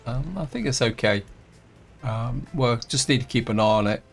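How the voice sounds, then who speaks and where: A man, croaky from a throat infection, casually thinking aloud as he plays through a strategy game, with lots of fillers and restarts. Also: medium pitch, normal speed, faint music behind. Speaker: gameplay host. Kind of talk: casual let's-play commentary.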